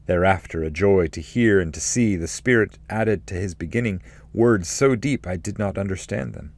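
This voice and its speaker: A man giving a scripted literary narration and reading of poetry, in a measured, formal way. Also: deep voice, no room sound. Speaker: narrator, reader.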